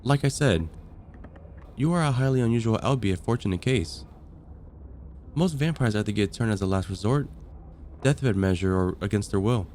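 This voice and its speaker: Casting: male actor as a calm, dryly amused vampire caseworker for ASMR roleplay, lowish register, friendly and explanatory, ambient noise behind. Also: natural voice. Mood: curious. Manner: calm, explanatory, gently wry. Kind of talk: ASMR roleplay, conversational acting.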